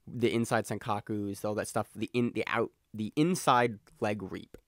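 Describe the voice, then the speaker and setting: A man's voice: on the low side, fairly even intonation, moderate pace, dry recording. A man co-hosting a podcast in casual chat, joking and talking over his co-host, recorded on a close mic.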